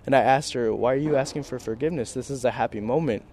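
A man's voice: medium pitch, fast, a little mellow, a little hiss or hum. A young man in his twenties from the Philippines, speaking earnestly in a field interview. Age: 20s. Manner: earnest, conversational with fillers.

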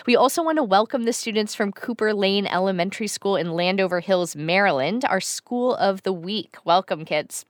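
A woman filling in as radio host for a kids' show, speaking in a clear, friendly, measured way. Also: medium pitch, expressive, no echo. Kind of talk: scripted radio hosting for kids. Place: radio studio. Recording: dry studio sound.

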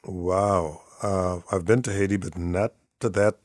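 An older man from Guyana hosting a radio call-in show, speaking in a measured, friendly broadcaster manner. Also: deep voice, steady tone, a little mellow, no echo, studio quality.

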